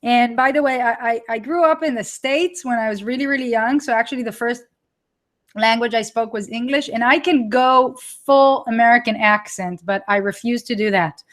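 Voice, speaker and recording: A woman's voice: a little high, normal speed. A woman with an Israeli accent hosting a live webinar, chatting with viewers as she presents her product, with frequent restarts. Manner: chatty, reads live chat and reacts to viewers.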